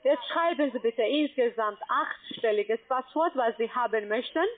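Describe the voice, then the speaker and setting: Lady phone agent, broken grammar, high voice, phone-line sound. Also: normal speed. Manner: instructing step by step, broken grammar. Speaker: scam call center agent. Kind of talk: phone call.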